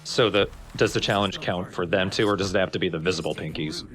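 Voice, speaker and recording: A man's voice: sits low, quick pace, fairly bright tone, soft background music. A man co-commentating on a video game in casual chat, curious and bantering with the others. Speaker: co-commentator watching the gameplay. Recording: faint background noise.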